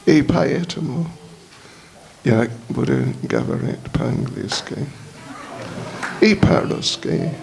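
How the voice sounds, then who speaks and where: A man with an English accent, a native English speaker, gives a lecture in slow, halting Russian, often searching for words. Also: mid-range voice, very animated, vocal fry, darkish tone, rough recording. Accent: English-speaking, speaking Russian as a learner. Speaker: visiting spiritual teacher giving a lecture. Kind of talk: slow lecture with verse chanting. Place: lecture hall.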